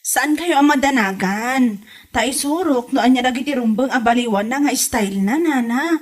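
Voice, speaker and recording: A woman playing a kind, patient young village woman with an Ilocano accent in a comedy drama, gentle in her delivery. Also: high-pitched, normal speed. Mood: respectful, reassuring.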